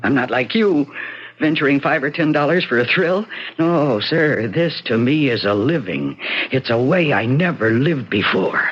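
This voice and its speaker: A woman's voice: very deep voice, lively intonation, moderate pace, husky, exaggerated voice. An actress playing a restless married woman with a secret gambling habit, dramatic and confiding in an old-time radio style. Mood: defiant. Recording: dull old radio recording.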